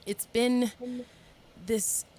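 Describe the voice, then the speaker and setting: A woman from the United States, a guest singer, speaking in a reflective, halting, conversational way in an interview. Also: a little high, fairly even intonation, a little mellow, a little hiss or hum.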